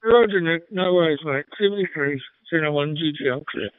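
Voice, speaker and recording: A man's voice: on the high side, brisk, clear, resonant tone, no room sound. A man chatting casually and informally in a matey way as an amateur radio operator, over a digital two-way radio link. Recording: tinny narrowband digital radio transmission.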